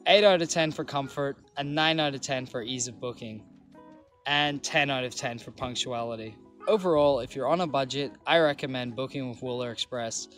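A man reading a scripted voice-over for his travel vlog, reviewing a trip in a measured, matter-of-fact way. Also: mid-range voice, fairly bright tone, faint music behind. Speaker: travel vlogger.

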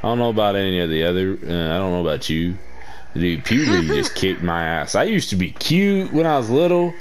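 A young man with a fairly deep voice, chatting casually and rambling while playing a game, slangy and self-mocking. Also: sing-song, brisk, background noise. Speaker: gaming channel co-host.

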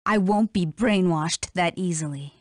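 A woman's voice: fairly low pitch, a little slow, dry recording, studio quality, natural voice. An actress playing an honest, defiant policewoman in a video game, indignant and impassioned. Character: honest, defiant female fox police officer.

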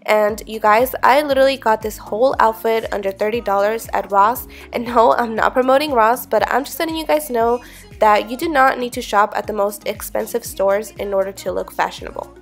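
Young woman fashion vlogger, bit high, talking straight to camera; sounds slightly sick; faint music bed. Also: brisk. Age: young adult. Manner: chatty and upbeat, with small slips and giggles. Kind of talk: casual vlog talk to camera. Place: small bedroom with string lights, indoors.

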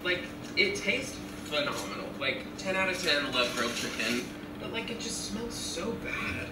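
A man's voice: on the high side, normal speed. A nineteen-year-old man talking casually and sarcastically while driving, recorded in a car by a dashboard camera. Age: teens. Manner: chatty, sarcastic, animated. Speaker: vlogger. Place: inside a moving car. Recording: roomy car cabin, distant mic, loud road noise. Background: road noise.

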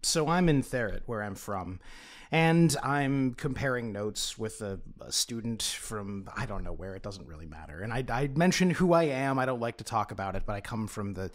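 A man's voice: fairly low pitch, lively intonation, moderate pace, lightly acted. A man playing a boastful, fussy aristocratic student in a tabletop game, telling his own story with pompous digressions. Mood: smug, casual. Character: boastful, fussy aristocratic male philosophy student, self-important. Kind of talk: in-character first-person tabletop storytelling.

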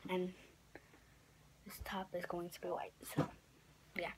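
Raspy, half-whispering young woman. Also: lowish voice, completely flat delivery, a little slow. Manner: rambling, filler-heavy, self-correcting. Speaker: craft vlogger. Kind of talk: casual craft tutorial. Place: small bedroom indoors. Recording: noisy home recording.

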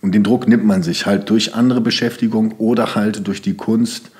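A man's voice: lowish voice, fairly even intonation, normal speed, a little mellow. A young man from Germany, speaking as a podcast guest in loose, rambling sentences full of fillers. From Germany (Sauerland). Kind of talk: casual interview talk.

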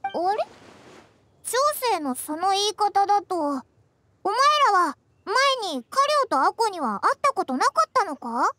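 A woman voicing a small, excitable, blunt fairy companion with a squeaky childlike voice in an anime game. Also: measured pace, dry recording, exaggerated voice. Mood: curious, surprised. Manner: exclamatory, boyish casual speech.

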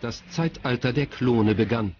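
A man's voice: mid-range voice, unhurried, a little mellow, highs cut off, a little hiss or hum. A man reading scripted documentary narration as a narrator.

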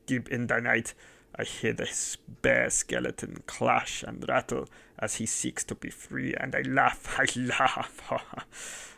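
A man's voice: mid-range voice, creaky, pushed and bright, full character voice. A man voicing a mad, vengeful male innkeeper who roars and laughs wildly. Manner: roaring, laughing wildly, mumbling.

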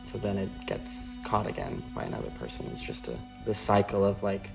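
A young man from Costa Rica, a dancer, reflecting in a searching way with frequent pauses and fillers. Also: lowish voice, big pitch swings, creaky, music in the background, low-quality recording. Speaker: dancer and collaborator. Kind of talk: reflective interview talk.

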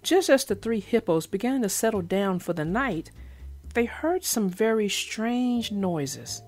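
A woman's voice: mid-range voice, expressive, unhurried, a little mellow. A woman reading aloud and chatting expressively as a children's book narrator and host. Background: music.

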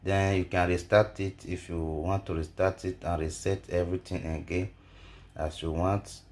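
A man narrating a step-by-step phone tutorial as a video host, halting and repeating himself. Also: low-pitched, level tone, a little mellow. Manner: halting, repetitive delivery.

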